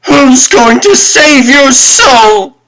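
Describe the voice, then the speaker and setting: A man's voice: very high voice, sing-song, very slow, sharp tone, heavily acted, rough recording. A man voicing an incredulous, melodramatic TV show performer in a comic dub, overwrought and emphatic. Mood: overdramatic.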